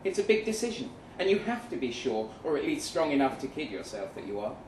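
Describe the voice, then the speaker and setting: A man reading a first-person short story aloud dramatically, with measured delivery and deliberate pauses. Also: mid-range voice, big pitch swings, darkish tone. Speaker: short story reader at a live event. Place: event room in a pub. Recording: roomy, distant mic with faint noise.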